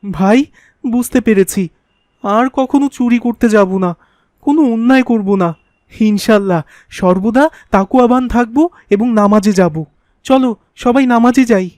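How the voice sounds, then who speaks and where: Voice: mid-range voice, normal speed, a little mellow, dry recording, lightly acted. A performer's earnest cartoon child, dry sound. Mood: resolute. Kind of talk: cartoon voice acting.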